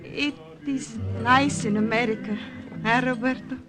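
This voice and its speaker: An actress playing a wistful, lovestruck young Italian woman, with an Italian accent and halting phrasing, in 1950s radio drama. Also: on the high side, steady tone, natural voice. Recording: old-time radio recording with music behind.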